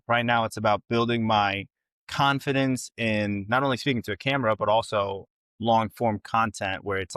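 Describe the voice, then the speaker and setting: A man's voice: fairly low pitch, fairly bright tone, no room sound. A young man from Ecuador and North Carolina talking casually and directly to viewers as he hosts a solo livestream. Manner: informal, addresses viewers directly with frequent check-ins. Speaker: content creator hosting a livestream. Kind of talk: casual livestream monologue to camera.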